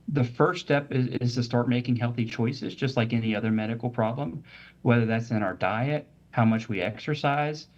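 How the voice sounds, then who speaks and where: A man of average pitch explains mental health topics as a medical guest on a talk show. He speaks steadily and methodically, often pausing on "uh" and "um", and the recording sounds a little dull. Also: darkish tone. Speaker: physician guest.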